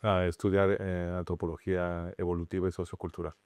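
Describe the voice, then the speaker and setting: Low smooth Mexican man explaining. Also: little pitch movement, a little mellow, no echo. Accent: Mexican Spanish. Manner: explanatory, measured with frequent restarts. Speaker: interview guest, anthropologist. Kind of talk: interview conversation.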